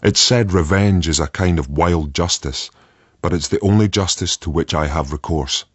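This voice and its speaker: Very deep, brooding ex-soldier. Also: male voice, slightly rough, no echo. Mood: cold, vengeful. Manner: brooding, terse, deliberate. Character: embittered male ex-soldier bent on revenge, brooding and menacing. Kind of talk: radio drama monologue. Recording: muffled, with loud background noise.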